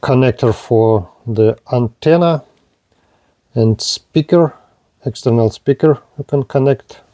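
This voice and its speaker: Slow-paced man with a dark, mellow voice. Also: medium pitch, no room sound. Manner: halting, short phrases. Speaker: product reviewer. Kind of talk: product review and demonstration.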